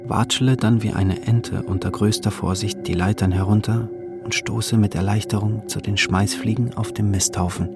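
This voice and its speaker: A man's voice: on the low side, steady tone, moderate pace, over loud music. A man reading a literary travel diary as scripted narration, in clipped, terse phrases.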